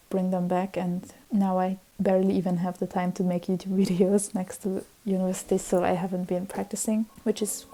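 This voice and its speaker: A young woman, a native Hungarian speaker, talks casually to her vlog audience in a rambling way, full of fillers and restarts. Her tone is very dark and mellow. Her pitch sits at an ordinary middle height. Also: rough recording. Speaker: vlogger. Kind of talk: casual chat.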